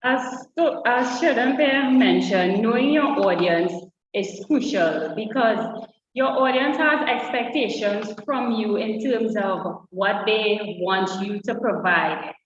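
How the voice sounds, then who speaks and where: A woman's voice: medium pitch, takes it slowly, slight background noise. A woman co-presenting a public speaking workshop, speaking to the audience and asking them direct questions. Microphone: online session audio. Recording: dull distant echoey hall sound.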